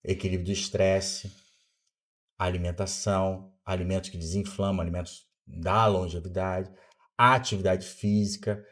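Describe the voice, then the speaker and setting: A man's voice: deep voice, little pitch movement, moderate pace, a bit bright. A Brazilian doctor in his fifties, a podcast guest who explains things in a teaching way and answers his own rhetorical questions. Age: 50s. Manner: didactic, asks himself rhetorical questions and answers them. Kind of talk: explanatory interview talk.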